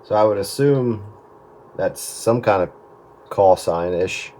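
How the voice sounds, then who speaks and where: A man chatting casually and a bit haltingly over two-way radio. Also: fairly low pitch, dark tone. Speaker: amateur radio operator. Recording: radio transmission with faint static.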